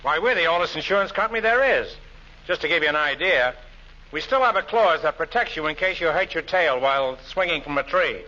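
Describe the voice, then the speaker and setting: A man's voice: fairly high pitch, animated, a little fast, sharp tone. An actor playing a brash, glib insurance salesman and comic schemer in 1940s radio comedy style. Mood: pushy, glib. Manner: glib, wisecracking patter. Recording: noisy, muffled old radio recording.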